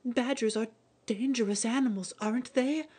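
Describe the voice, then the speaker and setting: A woman acting in an old-time radio drama style, playing gentle, concerned female characters. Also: fairly high pitch, normal speed, husky. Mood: worried. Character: worried gentle mother, and a curious young girl.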